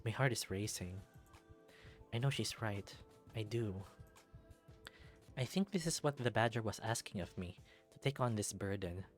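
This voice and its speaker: A man's voice: fairly low pitch, expressive, a little slow, long pauses, faint music behind. A man playing an anxious, unsure male college student who narrates his thoughts hesitantly and informally in a visual novel. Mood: overwhelmed.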